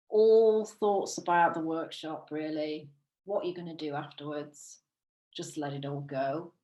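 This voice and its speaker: A woman leading a guided visualisation as a meditation teacher, soothing and unhurried, with long pauses between phrases. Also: on the low side. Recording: roomy, echoing sound.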